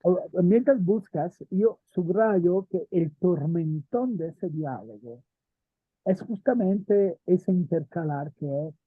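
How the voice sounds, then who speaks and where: A man's voice: sits high, lively intonation, slow, dry recording, narrow, tinny audio. A man teaching theater history to a small class, thinking aloud and checking in with his students. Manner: thinks aloud, asks students questions, frequent "¿no?". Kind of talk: lecture and class discussion.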